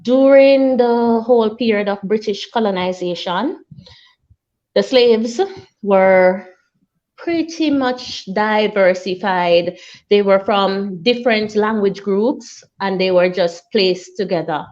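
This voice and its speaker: A woman lecturer explaining things in an interview, measured and unhurried, with some fillers. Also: mid-range voice, darkish tone, dull audio. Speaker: linguistics lecturer, guest.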